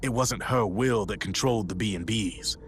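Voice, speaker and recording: An actor playing a gruff arms dealer in a video game, narrating a dark war story in a grim, measured way. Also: male voice, fairly low pitch, a little hiss or hum, natural voice. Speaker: storyteller character. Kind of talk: dramatic scripted narration.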